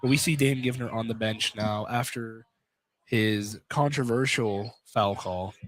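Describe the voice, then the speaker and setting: A man's voice: fairly low pitch, unhurried, a bit bright, no echo. A man giving live radio play-by-play of a basketball game, with casual, opinionated asides. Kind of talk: live radio sports commentary. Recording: noisy live gym broadcast.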